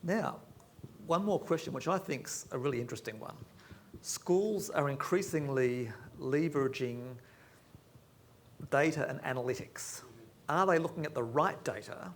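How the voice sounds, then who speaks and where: A man moderating a panel discussion in an auditorium, brisk and affirming as he puts questions to guests. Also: a little high, a little hiss or hum. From Australia. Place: large auditorium.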